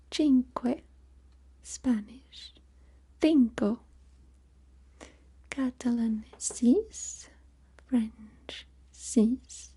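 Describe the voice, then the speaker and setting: A woman softly whispering a language lesson in ASMR style, listing words one by one. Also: on the high side, slightly rough. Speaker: language teacher.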